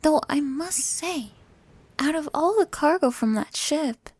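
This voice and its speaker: A woman playing a jealous, possessive pirate captain in an ASMR roleplay, taunting and sultry with breathy, husky whispers. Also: sits high, lively intonation, unhurried, darkish tone, dry recording.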